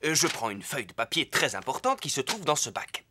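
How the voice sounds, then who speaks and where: A man's voice: medium pitch, sing-song, a little fast, noisy background, close to an everyday voice. An actor playing a polite, earnest male rabbit office worker in a preschool cartoon dub, courteous and precise.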